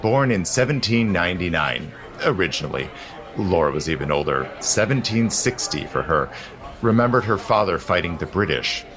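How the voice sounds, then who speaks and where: An actor playing a suave, teasing 19th-century gentleman in an audio drama, urbane and theatrical in manner. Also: sits low, very animated, moderate pace. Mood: confiding, playful. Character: suave, teasing immortal gentleman protector, secretly seventy-two. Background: faint music.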